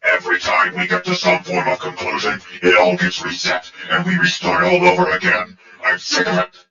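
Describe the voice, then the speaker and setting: A man's voice: high-pitched, big pitch swings, normal speed, gravelly, sharp tone, voice pushed up, heavily acted. A man voicing a weary, solemn robot leader in a fan audio drama, speaking earnestly and pleadingly. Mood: angry, desperate. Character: weary, pleading heroic male robot commander. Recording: echoey, muffled, distant from the mic.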